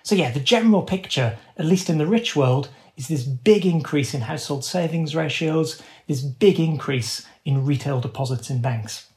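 A man's voice: on the high side, normal speed, hardly any pauses, darkish tone. A man giving conversational economic analysis as an interview guest, explaining in informal, nuanced phrasing.

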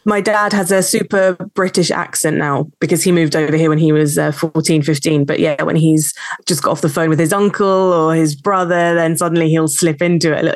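A woman's voice: low-pitched, fairly even intonation, a little fast, no echo. A woman hosting a podcast, asking questions in a friendly and encouraging way. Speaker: podcast host. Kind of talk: casual podcast conversation.

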